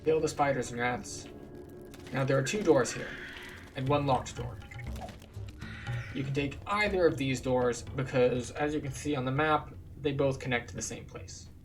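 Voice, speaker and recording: Casting: male gaming guide host, informal and explanatory, medium pitch, faint game music behind. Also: normal speed. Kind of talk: casual game walkthrough commentary.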